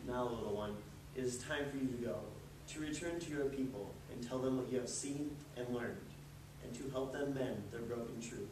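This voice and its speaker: Wise ancient storybook turtle male performer, gentle and grave, poetic delivery; noisy, distant recording. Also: mid-range voice, moderate pace, lightly acted. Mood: solemn, kindly. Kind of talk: readers theatre storybook performance. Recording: roomy, distant mic with clear noise.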